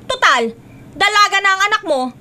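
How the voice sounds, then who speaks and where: Shrill, stern Filipino spinster aunt. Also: female voice, expressive, normal speed, pushed and bright, exaggerated voice. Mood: weary, complaining. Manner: scolding, emphatic, melodramatic. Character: stern, exasperated middle-aged spinster aunt. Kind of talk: Filipino radio drama acting.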